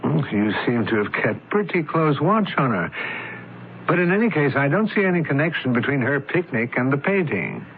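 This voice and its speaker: An actor playing a skeptical, measured man in a radio drama, questioning an informant. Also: a little high, big pitch swings. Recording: tinny vintage radio broadcast, faint noise.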